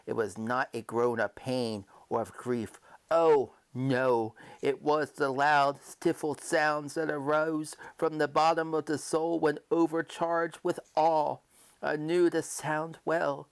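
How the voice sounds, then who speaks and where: Slow, theatrical male horror reader. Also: medium pitch. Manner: theatrical, deliberate, halting, swinging between hushed and emphatic. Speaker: narrator reading a short story aloud. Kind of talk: dramatic reading of a gothic horror story, old-time radio manner.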